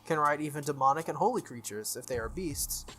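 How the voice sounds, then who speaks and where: A video game player reading text aloud and commenting casually, with a slightly halting delivery and stumbles. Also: medium pitch, animated, a bit bright. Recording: music behind the voice. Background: background game music.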